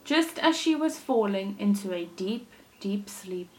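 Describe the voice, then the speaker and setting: A woman teacher reading a storybook aloud to young children, in a deliberate, expressive way with dramatic emphasis. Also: mid-range voice, low-quality recording. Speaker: primary school teacher reading to her class.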